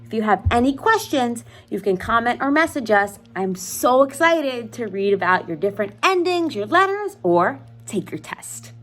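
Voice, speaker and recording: Animated, high-voiced woman teacher. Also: moderate pace, hardly any pauses. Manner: encouraging, instructional, with rhetorical questions; informal, addresses listeners directly. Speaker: schoolteacher. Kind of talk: explaining an assignment to young students.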